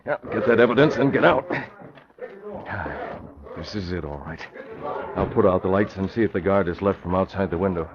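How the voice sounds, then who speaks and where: Resolute vigilante lead for old radio serial, low, clipped, composed, muffled and hissy. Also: male voice, fairly quick, long pauses. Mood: brisk, resolute. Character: composed, resolute male masked crime-fighter. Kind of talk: 1940s radio acting. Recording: dull, noisy old radio recording.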